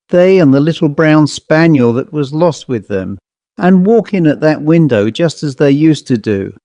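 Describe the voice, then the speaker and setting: A man's voice: fairly high pitch, mellow, no echo. A man voicing a self-possessed, sly fifteen-year-old girl in a storybook, with a poised, confiding manner.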